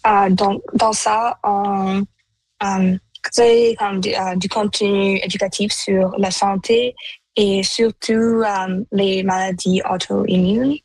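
A teenage young woman whose first language is Punjabi answers casually as an interview guest. Her mid-pitched voice moves haltingly as she looks for words. The recording is dry and free of room sound. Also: level tone, darkish tone, no room sound, rough recording. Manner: halting second-language French, searching for words.

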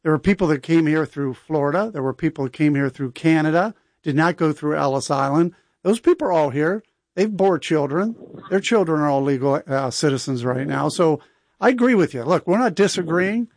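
A man co-hosting talk radio in a dry studio, his voice a touch higher than most men's. He explains his view firmly but stays agreeable, trying to find common ground. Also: animated, a little fast, darkish tone, no echo. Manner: conciliatory but firm, explaining. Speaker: radio co-host. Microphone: studio microphone.

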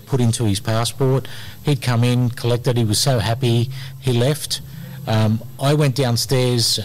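A man's voice: medium pitch, darkish tone, a little hiss or hum. A middle-aged Australian man, an immigration lawyer, talking energetically in a casual radio interview with plenty of ums and uhs, recorded in a community centre hall.

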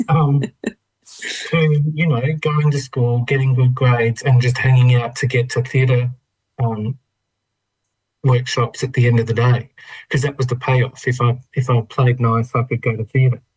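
An Australian man in his fifties from Melbourne's western suburbs, telling his own story in a reflective, thoughtful, halting way as an interview guest. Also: medium pitch, little pitch movement, darkish tone, husky, poor audio. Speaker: interview guest, trained dancer. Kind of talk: reflective personal storytelling in conversation.

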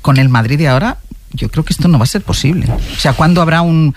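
A man's voice: a little high, quick pace, a little hiss or hum. A man with an accent from Spain hosting a sports talk show, moving between casual banter and introducing topics. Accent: Spanish from Spain.

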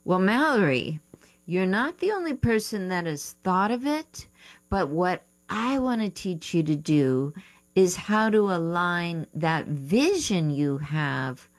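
Female radio coach, low and slow, muses aloud and second-guesses the details as she answers letters. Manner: thinking aloud, unsure of terms, self-correcting. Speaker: writing coach and radio host. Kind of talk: advice show talk, reading listener letters.

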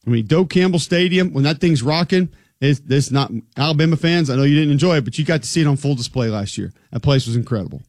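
A man's voice: a little high, lively intonation, fast talker, a little mellow, dry recording. Guy hosting sports radio, chatty, emphatic, dry close sound. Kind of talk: sports talk show.

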